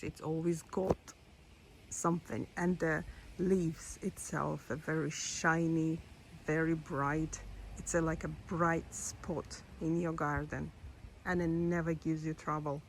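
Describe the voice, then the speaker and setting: Woman, hoarse, gravelly, slow, lowish voice, throat clearing, outdoor phone recording, faint background noise. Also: darkish tone, rough recording. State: hoarse, losing her voice. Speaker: garden vlogger. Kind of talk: casual garden tour. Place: outdoor rose garden.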